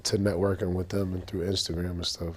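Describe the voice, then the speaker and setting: A man's voice: low-pitched, flat delivery, fast talker, a little hiss or hum. A young man from Boston, a rapper being interviewed, talking in a loose, rambling way with street slang and lots of fillers. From Boston, Massachusetts. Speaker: rapper, guest. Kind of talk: casual interview chat.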